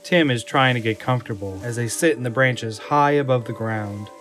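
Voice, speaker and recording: Mid-pitched man narrating over faint music. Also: normal speed, a bit bright. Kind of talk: scripted narration of an audio drama.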